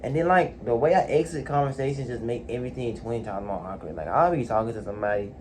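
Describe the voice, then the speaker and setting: A man's voice: medium pitch, quick pace, a little mellow, a little hiss or hum. A man in his late teens talking alone in his bedroom, hesitant, full of fillers and restarts. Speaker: vlogger. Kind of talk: casual solo talk to camera.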